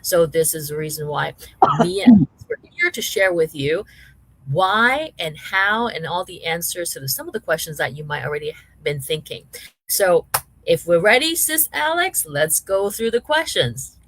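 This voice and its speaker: Lower-voiced woman hosting a chat. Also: very animated, moderate pace, slight background noise, low-quality recording. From Hong Kong, raised in Canada. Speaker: host and interviewer. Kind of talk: live online chat interview.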